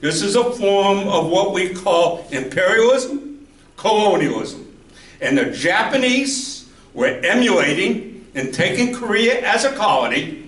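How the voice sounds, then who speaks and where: A man's voice: high-pitched, big pitch swings, unhurried, a bit bright. Man, professor, public talk, roomy echo, distant mic. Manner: chatty, asks the audience questions. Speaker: history professor. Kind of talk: public lecture. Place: library meeting room. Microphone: handheld mic, heard from afar. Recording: echoey room, voice from far off.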